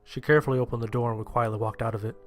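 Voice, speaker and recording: A man's voice: mid-range voice, fairly even intonation, racing, close to an everyday voice. A man playing a sheltered, anxious young survivor, narrating his story in the first person in a plain-spoken way. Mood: narrating, tense. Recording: music behind the voice.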